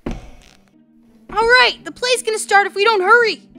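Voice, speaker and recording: A woman's voice: extremely high pitch, bright, forceful tone, faint music behind, voice pushed up, heavily acted. A woman voicing a brash, impatient young rat boy in a theatrical audiobook, with cocky, slangy bursts. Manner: cocky, slangy, hurried exclamations.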